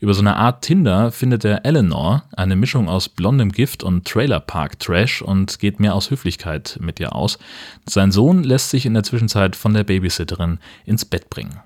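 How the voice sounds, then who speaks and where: A man's voice: fairly low pitch, expressive, moderate pace, darkish tone. A man hosting a podcast, moving between casual chat and comedic scripted narration. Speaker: podcast host.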